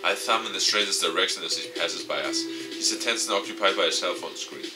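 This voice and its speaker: Low voiced guy doing a let's play, reading game text aloud, bit far from the mic. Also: brisk, over loud music. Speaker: gaming video host.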